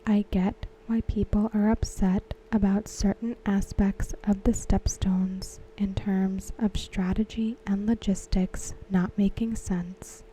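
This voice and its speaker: A woman whispering softly as an ASMR host, talking through a TV episode. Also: mid-range voice, level tone, slow pace, few pauses, a little hiss or hum, rough recording. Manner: whispered, unvoiced and close. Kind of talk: soft-spoken ASMR commentary.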